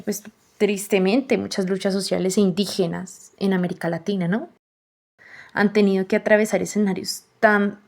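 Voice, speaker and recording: A young woman hosting a student podcast, narrating in an earnest, measured way, with frequent "pues" fillers. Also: medium pitch, darkish tone. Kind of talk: reflective voice-over narration.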